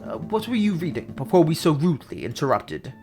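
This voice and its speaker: This is a man playing a falcon lawyer from a video game, sensible and dutiful. He speaks in a measured, dryly reproving way with plenty of movement in his pitch. There is soft music behind him. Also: sing-song. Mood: reassuring, dutiful. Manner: measured, dry, mildly exasperated.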